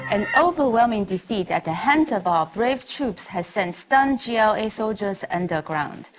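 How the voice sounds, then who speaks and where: A woman playing a composed, patriotic state-television anchor, reading a news report in a formal, measured, official manner. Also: a little high, lightly acted. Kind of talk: scripted TV news report acting.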